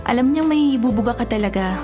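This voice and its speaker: An actress as an earnest young Filipino woman in a radio drama. Her voice is high, tender and emotional, with light music playing underneath. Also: animated, brisk, natural voice. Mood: tender, playful. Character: young pregnant rich man's daughter, earnest and devoted. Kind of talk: Tagalog radio drama acting.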